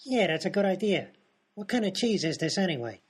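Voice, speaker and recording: A man's voice: high voice, sing-song, brisk, breathy edge, exaggerated voice. A man playing a dim-witted, food-obsessed sidekick in a radio comedy, with folksy, ungrammatical, matter-of-fact speech. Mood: dim, single-minded.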